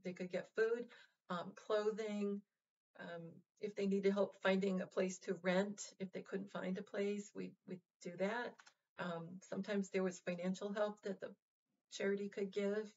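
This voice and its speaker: A woman hosting a vlog, talking to her viewers in a casual, heartfelt way, gentle and reassuring, with lots of fillers and restarts. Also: medium pitch, flat delivery, a little slow, muffled recording. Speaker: vlog host.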